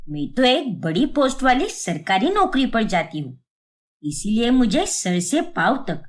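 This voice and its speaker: Lady doing a bossy sneering mother-in-law for a cartoon story, middle-aged, show-off, swings up and down a lot. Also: fairly high pitch. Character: haughty, taunting middle-aged mother-in-law with government job.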